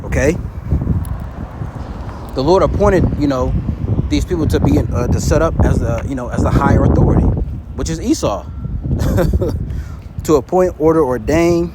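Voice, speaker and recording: A man preaching casually outdoors, his fairly high voice half-buried under loud wind and passing traffic. He reads scripture, then explains it plainly with a stream of "all right" and "you know" check-ins. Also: moderate pace, long pauses. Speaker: street preacher. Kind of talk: informal bible teaching video.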